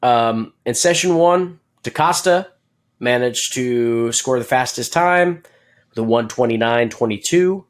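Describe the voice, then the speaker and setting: Slow-talking rambling male podcast host. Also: mid-range voice. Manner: rambling, filler-heavy, joking and laughing. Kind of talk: casual race recap chat.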